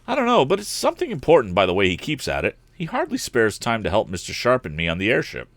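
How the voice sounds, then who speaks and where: A man voicing an earnest, eager teenage boy inventor in a storybook reading, bright and emphatic in manner. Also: mid-range voice, huge pitch swings, fast, no room sound. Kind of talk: audiobook character voice.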